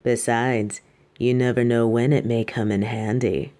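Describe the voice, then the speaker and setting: A woman's voice: rumbling low, little pitch movement, a little slow, warm tone. A woman playing a proud, exacting yet fond superhero costume designer in a scripted roleplay, speaking in a grandiose, dramatic way. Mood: resigned, fond. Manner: grandiose, dramatic, addresses listener as darling. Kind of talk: scripted roleplay audio monologue.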